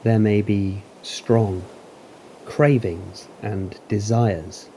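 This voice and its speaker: A man narrating a guided meditation in a calm, deliberate, soothing manner with long pauses. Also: lowish voice, slight background noise, low-quality recording. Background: waves.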